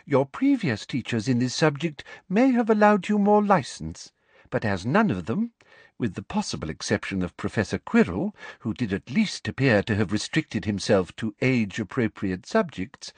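A smug ministry witch talks down to her class in a sleek, sugary tone, a man putting her on. The voice sits well above his own and rises and falls a lot, with a slightly husky grain. It is close and dry. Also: mid-range voice, sing-song, moderate pace, no room sound, voice pushed up. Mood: condescending. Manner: smooth, sleek and condescending. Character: smug sickly-sweet female ministry teacher, middle-aged.

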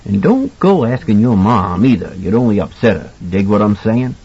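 Audiobook part: jovial avuncular mentor, acted by a male narrator, husky and colloquial, lively intonation, lo-fi recording. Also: lowish voice, normal speed. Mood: amused, advising. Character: jovial, kindly older man, folksy mentor. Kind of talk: audiobook character acting.

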